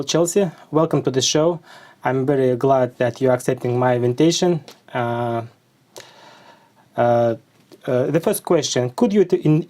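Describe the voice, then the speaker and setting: A man's voice: medium pitch, mellow. A man with a Russian accent, a native Russian speaker, hosting an interview and asking questions hesitantly with many fillers. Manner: hesitant, many uhs and restarts. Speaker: interview host.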